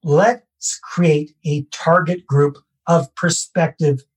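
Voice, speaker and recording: Canadian guy on a podcast, kinda husky, slightly high voice, walks you through it step by step. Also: steady tone, takes it slowly. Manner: conversational, self-deprecating, repeats key phrases. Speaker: real estate investor and guest. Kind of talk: podcast interview, explaining a process.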